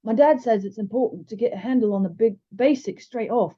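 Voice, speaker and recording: A woman's voice: a little high, a little fast, husky, pitched above the performer's own voice. A woman voicing a big, studious young boy in a storybook, serious and eager to learn. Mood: earnest, curious. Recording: slightly dull, lo-fi sound.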